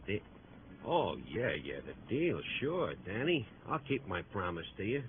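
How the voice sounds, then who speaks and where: An actor playing a weary, wry ex-convict dressed as Santa in an old radio drama, gruff and wisecracking. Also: male voice, fairly low pitch, very animated, a little slow, slight background noise. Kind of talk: 1940s radio acting. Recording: tinny old radio broadcast.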